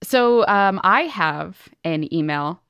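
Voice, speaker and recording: A woman's voice: lowish voice, animated, slow, smooth, no echo, highs cut off. A woman co-hosting a podcast in a conversational, enthusiastic way, moving between casual chat and host-read ads.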